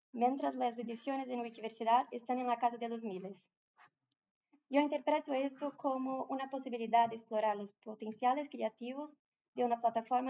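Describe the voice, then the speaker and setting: A woman's voice: fairly high pitch, mellow, dull audio. A Brazilian woman with a Portuguese accent giving a conference talk in a large room, careful and often restarting her words. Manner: careful, with frequent hesitations and restarts. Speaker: conference speaker. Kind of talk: conference presentation.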